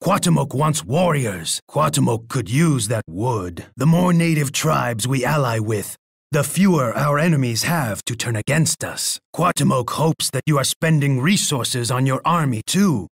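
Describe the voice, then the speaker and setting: A bloodthirsty, imperious Aztec emperor from a strategy video game. His voice is heavily gravelled and rough, recorded dry and close. He delivers every line with pompous, theatrical menace, boasting grandly about himself. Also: medium pitch, lively intonation, a little slow, no room sound, heavily acted. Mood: haughty. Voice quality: gravelly, raspy. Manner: pompous, speaks of himself in third person.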